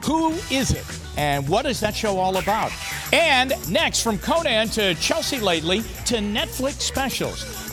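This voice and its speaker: An American man hosting a local TV talk show, presenting and chatting in an upbeat studio style. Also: sits high.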